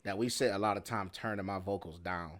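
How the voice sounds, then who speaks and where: A man with a deep voice in relaxed, slangy casual chat, laughing often as he tells stories. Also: fairly quick. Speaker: rapper and interviewer.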